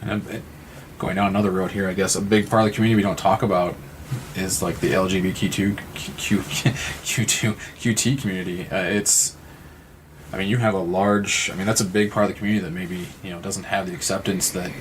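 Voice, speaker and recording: Male podcast participant, low-pitched monotone, reflective, halting delivery with repeated words. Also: a little hiss or hum. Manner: halting, repeats words while thinking aloud. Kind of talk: reflective conversational discussion.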